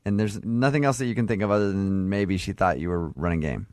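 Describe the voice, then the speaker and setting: A man's voice: sits low, fast talker, a little mellow, no echo, studio quality. A man hosting a radio show, talking in a casual, teasing way with morning-show banter. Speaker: radio host. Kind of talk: morning radio banter and prank-style phone call.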